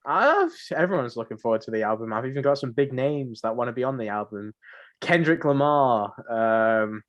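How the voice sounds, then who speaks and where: A man's voice: mid-range voice, very animated, moderate pace, muffled recording. A man hosting a casual podcast chat, somewhat hesitant and often repeating his phrases. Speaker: podcast host.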